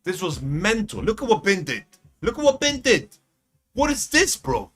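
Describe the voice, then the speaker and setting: A man commentating a live esports match on his own stream, excitable and swearing often, with faint hip hop music in the background. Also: sits high, normal speed. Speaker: esports costreamer. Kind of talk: live costream game commentary.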